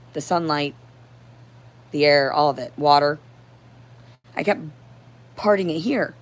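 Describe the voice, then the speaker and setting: Hoarse, confrontational woman livestreamer. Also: lowish voice. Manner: confrontational, profane, disjointed. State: hoarse throat. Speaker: livestream host. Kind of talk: rambling unscripted livestream monologue.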